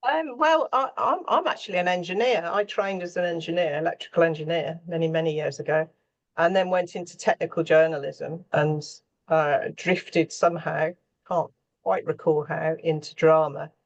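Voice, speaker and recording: A woman's voice: deep voice, normal speed, muffled recording. A middle-aged or older woman, a scriptwriter, explaining her work in conversation on a panel. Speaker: radio drama scriptwriter on a panel.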